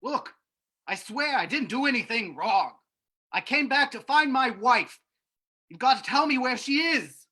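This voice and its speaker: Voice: medium pitch, expressive, a little slow, sharp tone, husky, heavily acted. An actor playing a desperate young soldier in an old-time radio drama, pleading urgently. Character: desperate young male soldier wrongly accused.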